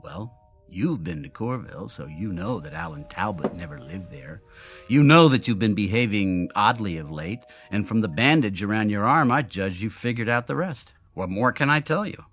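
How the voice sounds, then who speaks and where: An actor playing a drunken, world-weary genius inventor who mocks himself bitterly, in radio drama style. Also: male voice, fairly low pitch, lively intonation, moderate pace, a bit bright, faint music behind. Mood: bleak, taunting. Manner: wry, theatrical, world-weary.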